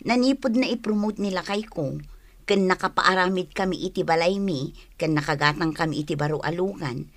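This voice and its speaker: A woman's voice: fairly low pitch, brisk, no room sound, natural voice. A woman playing an aggrieved wife and mother in a radio drama. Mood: hurt, resentful.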